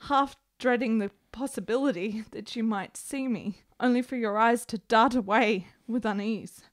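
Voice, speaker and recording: Actress as ailing, lovestruck period heroine: high voice, florid, desperate, melodramatic radio-play delivery. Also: female voice, moderate pace, close to an everyday voice. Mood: fervent, wistful. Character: sickly, lovestruck, desperate woman in 1870s Italy. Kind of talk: period radio drama acting.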